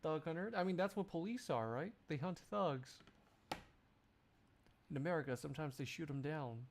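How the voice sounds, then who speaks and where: A man's voice: on the high side, lively intonation, normal speed, slight background noise. A man livestreaming, chatting casually to his viewers in a jokey, rambling, exclamatory way. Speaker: live streamer.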